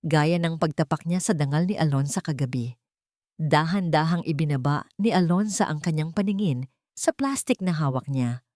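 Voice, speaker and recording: A woman's voice: deep voice, moderate pace, a little mellow, dry recording, clean studio sound. A woman narrator reading a scripted romantic drama in a solemn, emotive storytelling style.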